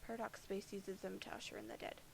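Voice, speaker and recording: A woman's voice: medium pitch, flat delivery, fast talker, vocal fry, slight background noise. A woman doing a foul-mouthed gloomy alien troll boy. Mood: sardonic. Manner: foul-mouthed, sardonic, defensive. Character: bitter doom-prophet alien troll boy, crude and sulky.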